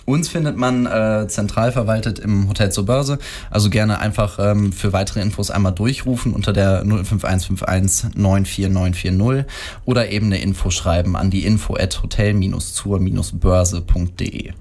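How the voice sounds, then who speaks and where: A man in his thirties speaks as a guest on a radio show, his voice sitting a little low. He talks with frequent fillers and hesitant restarts as he explains his work as a manager. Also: normal speed, a little mellow. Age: 30s. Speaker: food and beverage manager.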